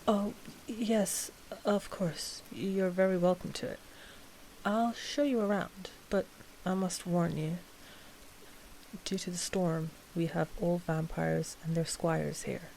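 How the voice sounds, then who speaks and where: A woman playing a cautious, principled vampire coven master in a scripted ASMR roleplay, measured and a little exasperated. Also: on the low side, steady tone, creaky, a little mellow, a little hiss or hum, rough recording. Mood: hesitant, uneasy.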